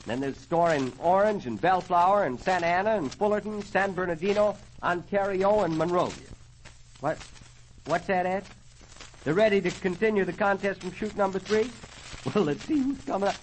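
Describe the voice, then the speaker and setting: A man's voice: sits high, normal speed. A man with an American accent announcing an old-time radio show in folksy, theatrical cowboy slang. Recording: noisy old radio recording.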